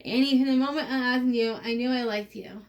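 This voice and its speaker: A woman's voice: fairly high pitch, steady tone, fairly quick, distant mic, lightly acted, rough recording. A woman reading the part of an eager, lovestruck farm boy in a stage play. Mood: eager, smitten.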